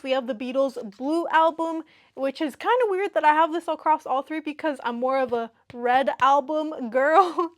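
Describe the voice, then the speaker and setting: High-voiced young woman chatting casually. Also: a bit bright. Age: young adult. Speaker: vlogger showing a record collection. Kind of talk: casual chat to camera. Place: small furnished living room, indoors. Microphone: small clip-on lavalier mic at chest.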